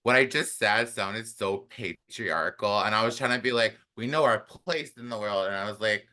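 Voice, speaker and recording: A woman with a deep voice, a panel guest chatting and laughing as she tells a story. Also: normal speed, bright, forceful tone. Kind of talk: casual panel chat.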